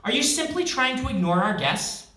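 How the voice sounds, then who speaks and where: A man's voice: extremely high pitch, very animated, moderate pace, sharp tone, pitched above the performer's own voice, exaggerated voice. Echoey, indignant fantasy queen read by a man. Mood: exasperated, sarcastic. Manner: insistent, sarcastic questioning. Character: indignant, probing queen challenging her husband. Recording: echoey event room, distant microphone.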